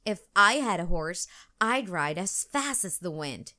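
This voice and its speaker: A woman's voice: lowish voice, expressive, measured pace, sharp tone. A woman voicing an eager, plucky little girl in a children's storybook, exclamatory and enthusiastic. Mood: excited.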